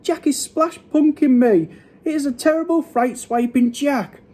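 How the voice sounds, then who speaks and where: A man voicing a huge man-eating giant from a storybook, loud and shrieking, wailing pleas in broken grammar. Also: very high voice, a little slow, voice pushed up. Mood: panicked bellowing. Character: huge man-eating male giant, panicking, screaming and begging.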